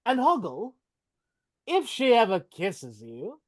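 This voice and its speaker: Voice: medium pitch, huge pitch swings, takes it slowly, dry recording, studio quality, heavily acted. Someone reading a mocking goblin king. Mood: threatening. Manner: taunting, mocking with laughs. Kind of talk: live dramatic reading over video call. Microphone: video call.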